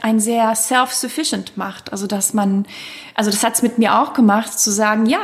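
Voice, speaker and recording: A woman who grew up in Sweden, a native Swedish speaker, hosting a podcast interview and engaging personally and warmly with her guest's story. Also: medium pitch. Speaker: podcast host. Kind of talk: conversational interview.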